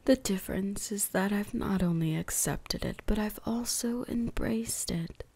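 An ancient vampire huntress, acted by a woman, speaks in an intimate ASMR roleplay. Her voice is dark, breathy and whispery, with a gravelly fry in it. She is predatory and seductive, teasing with condescension. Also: medium pitch, measured pace. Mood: haughty, menacing.

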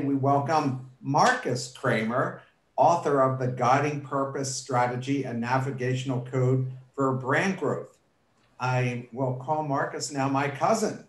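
A man's voice: medium pitch, measured pace. A middle-aged man hosting an interview over a video call, reading friendly introductions and asking questions. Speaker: podcast host. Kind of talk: interview host. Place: office indoors. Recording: roomy echo on a video call.

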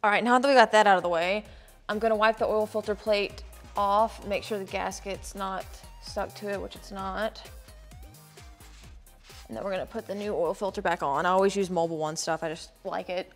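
A woman narrates as she works on her car in a home garage, keeping things light and laughing at her own slip-ups. Her voice sits at an ordinary middle pitch and carries a long, echoing reverb from the hard-walled shop. Soft music plays faintly underneath. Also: steady tone, normal speed. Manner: chatty, self-deprecating, laughs off mishaps. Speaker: car vlogger doing her own maintenance. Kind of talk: casual how-to narration while working.

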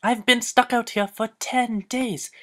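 A woman's voice: fairly high pitch, steady tone, a little slow, a bit bright. A woman voicing a silly, boastful, easily exasperated male dog in an exclamatory amateur cartoon parody. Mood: dramatic.

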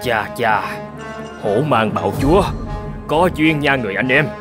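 Music plays under a stubborn hunter of middling pitch , voiced by a man. He is a greedy family man from an animated fable. He talks brusquely and brags, waving away any objection. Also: measured pace, lightly acted. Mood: gloating. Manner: brusque, boastful, dismissive. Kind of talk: animated folk tale dialogue. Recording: music behind the voice.

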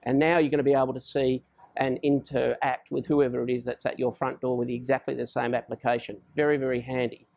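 Gravelly man presenting products. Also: medium pitch, flat delivery, brisk, a little mellow, dry recording. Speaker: presenter for a security installer. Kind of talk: informal product overview to camera.

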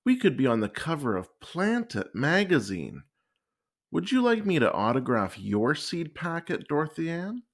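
Male reader as boastful storybook schoolboy: keen, emphatic, pitched up with swooping intonation. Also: wildly swooping pitch, moderate pace, exaggerated voice. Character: eager, boastful young schoolboy.

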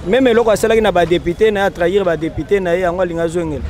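A man's voice: high-pitched, steady tone, very fast, darkish tone, slight background noise. A young man with a Congolese accent arguing his opinion with pointed questions in an outdoor street interview. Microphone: handheld mic.

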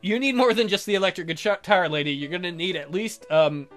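A man's voice: high-pitched, brisk, fairly bright tone. A man hosting a let's play, reading visual novel narration in an informal way and interrupting himself with casual commentary. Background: music with guitar.

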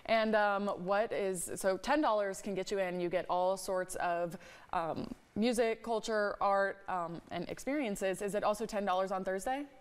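A woman's voice: mid-range voice, moderate pace, smooth. Upbeat young woman anchoring morning TV. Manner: upbeat, encouraging, frequent "okay" and "very cool". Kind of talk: live TV studio interview. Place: TV news studio.

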